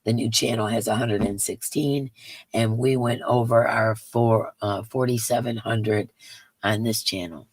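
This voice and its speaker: A woman with a raspy voice hosting a casual livestream in her yarn room, greeting chat viewers by name in a sing-song way and sounding emotional. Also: very deep voice, steady tone, unhurried, a little mellow, no echo, low-quality recording. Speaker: livestream host.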